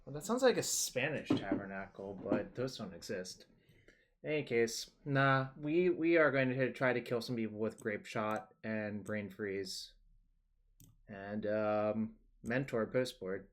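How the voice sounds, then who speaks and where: A young man casually talking through a card game on his stream, thinking aloud in a relaxed, rambling way. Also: fairly low pitch, unhurried.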